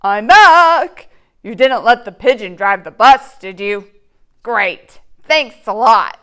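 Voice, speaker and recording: A woman's voice: on the high side, expressive, takes it slowly, fairly bright tone, clear, resonant tone. A woman voicing a friendly, trusting bus driver from a storybook, speaking emphatically and straight to the listener. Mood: cheerful. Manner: emphatic, addressing the listener directly.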